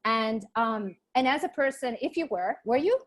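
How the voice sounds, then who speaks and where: Higher-voiced woman moderating, halting and informal. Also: expressive. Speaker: book club moderator. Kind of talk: casual panel conversation.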